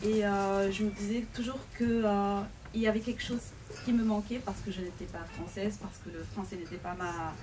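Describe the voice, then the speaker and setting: Woman, Arabic speaker, earnest and measured, many pauses, picked up from across a noisy bookshop. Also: medium pitch, fairly even intonation. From Lebanon. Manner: earnest, measured, pausing often. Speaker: author at a book event. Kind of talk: reflective personal talk. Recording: distant mic with background noise.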